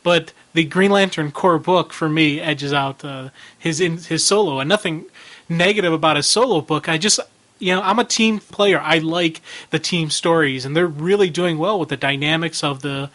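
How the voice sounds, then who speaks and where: A man's voice: a little high, moderate pace, fairly bright tone. A man co-hosting a comics podcast in casual chat, easygoing and agreeable. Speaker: podcast co-host.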